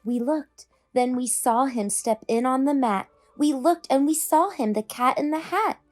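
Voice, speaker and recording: Animated woman reading to children, fairly high voice. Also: measured pace. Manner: animated, emphatic, addressing children. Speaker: host reading children's stories. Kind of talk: expressive read-aloud for young children.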